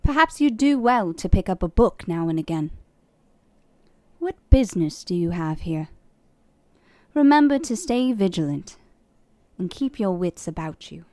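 A woman's voice: fairly low pitch, lively intonation, measured pace, pauses often, darkish tone, no room sound. A woman voicing a guarded, haughty noble lady with a cool, formal manner, as a fantasy game character.

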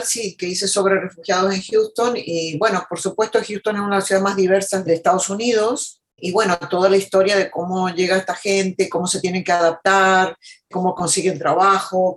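A woman's voice: fairly low pitch, fairly even intonation, fairly quick. A woman from the United States, a journalist being interviewed, telling her experiences in a reflective, earnest, conversational way. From United States, Argentine family.

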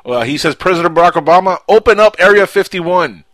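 A man with a Cuban accent hosting a casual radio talk show, animated and quick to raise his voice. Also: high voice, no echo. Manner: animated, quick to jump to loud outbursts.

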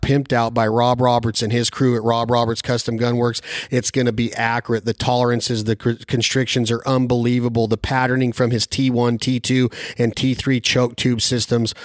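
Flat-toned, enthusiastic podcast host. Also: male voice, mid-range voice, no room sound, studio quality. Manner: enthusiastic, salesy, list-like delivery. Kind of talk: sponsor reads and interview questions. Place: podcast studio.

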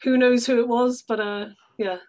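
A woman from London, chatting casually as a guest in a measured, thoughtful way. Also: a little high, steady tone, darkish tone. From London, England. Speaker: interview guest, actress. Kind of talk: casual chat.

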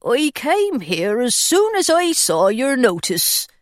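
Woman playing a high, thin, fretful storybook pirate, slow and apologetic. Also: big pitch swings, dry recording, studio quality, full character voice. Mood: anxious. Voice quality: thin and anxious. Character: thin, anxious, hapless male pirate.

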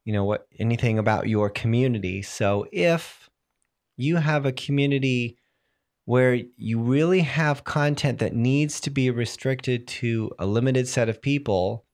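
A man hosting a solo tech podcast, explaining software settings while fighting a cold, clearing his throat now and then. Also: mid-range voice, a little slow. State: has a cold, voice strained. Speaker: podcast host.

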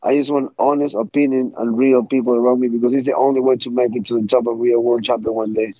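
Young man, blunt storyteller who keeps restarting; dry, dark sound. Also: mid-range voice, flat delivery, fast, no echo, highs cut off. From Ecuador. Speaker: guest fighter. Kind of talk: interview answers.

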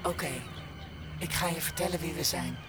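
Actress as low, grave, war-hardened guide. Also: female voice, normal speed, close to an everyday voice. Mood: grave, guiding. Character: grave, guiding alternate self, war-hardened and insistent. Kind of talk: audio drama acting. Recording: loud music bed under dialogue.

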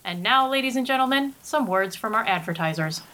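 A woman's voice: a little high, expressive, fairly quick, lightly acted. A woman playing a flustered, blunt female news announcer in a 1930s radio spoof, emphatic and indignant, on a noisy recording. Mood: flustered, formal. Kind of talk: 1930s radio broadcast parody acting.

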